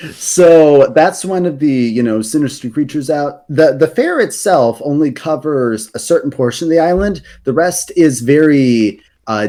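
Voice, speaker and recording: Man, mid pitch, casual chat, rambling explainer, lots of ums. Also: darkish tone. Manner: rambling, self-correcting, hesitant fillers. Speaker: lore guest on a card game podcast.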